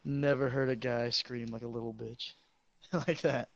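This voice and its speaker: A teenage man, a high school football player, casually telling crude, joking, rambling stories to a friend. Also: steady tone, measured pace, gravelly, dry recording, dull audio.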